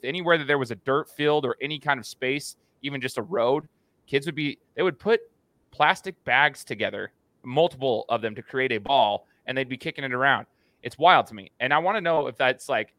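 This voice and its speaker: A man's voice: medium pitch, a bit bright, dry recording. An American man hosting an interview podcast in a casual, chatty way, talking to the guest like a buddy. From United States. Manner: informal, buddy-like address. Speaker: podcast host.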